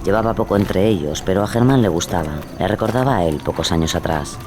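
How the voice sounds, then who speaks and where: A man's voice: sits low, expressive, fast. A man with a Spain accent narrating a scripted true-crime case. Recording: clear background ambience noise.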